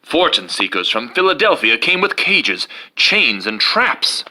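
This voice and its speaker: A man's voice: mid-range voice, sing-song, a little slow, sharp tone. A man giving a dramatic, theatrical and emphatic reading of a children's story, with music behind him. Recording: music under the voice.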